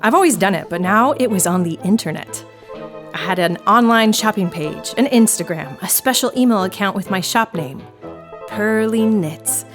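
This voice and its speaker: A woman's voice: medium pitch, lively intonation, normal speed, over music, natural voice. An actress playing a frazzled, wry woman knitter, telling her story in a confiding, sarcastic, exasperated monologue. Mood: rueful. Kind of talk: audio drama monologue, conversational storytelling to listener.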